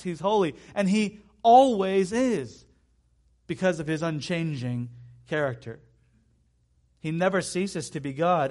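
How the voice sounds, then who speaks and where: Man, thirties, high voice, sermon, emphatic, conversational asides. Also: a little mellow. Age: 30s. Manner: emphatic, repeats key phrases, conversational asides. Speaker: preacher.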